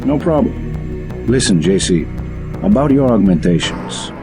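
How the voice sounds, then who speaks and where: A easygoing male doctor talks casually and wryly in a fairly low voice. It is game dialogue with clear music behind. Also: sing-song, unhurried. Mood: wry, friendly. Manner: casual, wry. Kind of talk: video game voice acting.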